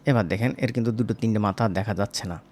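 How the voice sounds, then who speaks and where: A man's voice: on the low side, fast, a little mellow. Man giving a casual tutorial. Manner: informal, addresses viewers directly. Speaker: animation tutor. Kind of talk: casual screen-recorded software tutorial.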